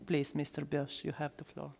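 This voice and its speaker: A woman's voice: sits low, monotone, normal speed, gravelly, warm tone, husky. A woman chairing a conference, making formal and polite announcements. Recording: long hall reverb.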